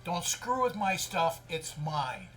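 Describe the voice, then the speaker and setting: A man with a slightly high voice chats as a co-host. His delivery is plain-spoken and a little halting, leaning hard on the points he wants to make in a casual, opinionated discussion. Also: very animated, fairly bright tone. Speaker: co-host of a livestream news show.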